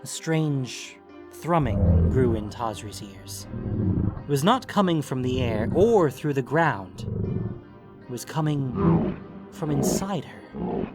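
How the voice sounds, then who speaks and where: A man's voice: mid-range voice, very animated, slow, vocal fry, long pauses. Male narrator for scripted fantasy fiction, theatrical and grave, medium register, music bed. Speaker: narrator of a fantasy story podcast. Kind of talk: dramatic scripted story narration. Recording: music under the voice.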